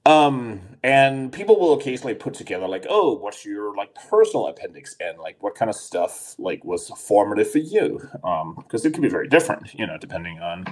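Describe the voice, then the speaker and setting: A man's voice: fairly low pitch, big pitch swings, brisk, few pauses, rough recording. A man in his forties from Denmark, co-hosting a podcast in casual chat, rambling with many fillers and restarts. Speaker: podcast co-host.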